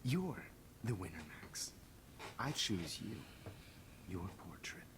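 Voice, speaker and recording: An actor playing a pompous, calmly menacing photographer villain in a video game, gloating softly over noisy captured audio. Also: male voice, low-pitched, takes it slowly, exaggerated voice. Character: smug, calmly menacing photographer kidnapping his students.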